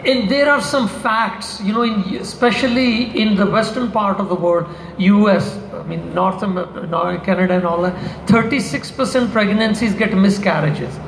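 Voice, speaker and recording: A man's voice: high-pitched, moderate pace, gravelly, few pauses, fairly bright tone, slight background noise. An older man on a convention panel talking casually about supplement formulas, rambling with many restarts and asides into a handheld mic. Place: large convention hall stage. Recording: echoey convention hall.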